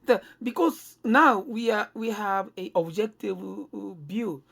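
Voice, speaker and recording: Gravelly-voiced woman, slow and halting. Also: mid-range voice, a bit bright. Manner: halting, often seeking agreement with tag questions. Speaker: podcast co-host. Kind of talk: conversational discussion.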